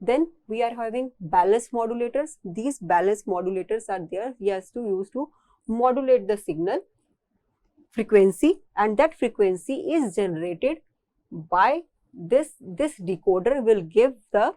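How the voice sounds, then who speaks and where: A woman's voice: medium pitch, animated, measured pace, warm tone, no room sound. A woman with an Indian accent giving a technical engineering lecture, explaining step by step and often repeating and rephrasing her phrases.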